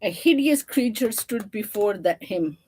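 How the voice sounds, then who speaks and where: A woman's voice: medium pitch, lively intonation, unhurried, warm tone, husky. A woman storyteller reading a children's picture book aloud, with informal, chatty asides to her listeners.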